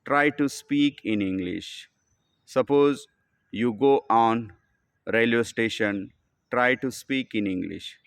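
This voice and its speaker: A man with an Indian accent giving a spoken English lesson. He talks in slow, simple, deliberate sentences and often calls the listener "my dear friend.". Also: medium pitch, no room sound. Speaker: English teacher.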